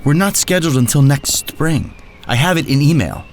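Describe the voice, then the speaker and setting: A man's voice: on the high side, animated, moderate pace, slight background noise. An actor playing a nervous, flustered male exhibit attendant in an audio drama, easily rattled and prone to stammering and mumbling.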